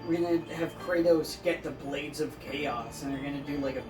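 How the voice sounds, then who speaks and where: A woman co-hosting a podcast in casual chat, haltingly searching for her words. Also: low-pitched. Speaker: podcast co-host. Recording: roomy echo, distant mic, music behind. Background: music.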